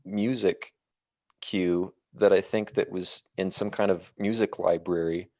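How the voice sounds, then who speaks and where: A man chatting casually about films on a podcast in a measured, reflective way. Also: deep voice, fairly even intonation, a little mellow, dry recording, clean studio sound. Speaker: podcast co-host. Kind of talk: casual film discussion.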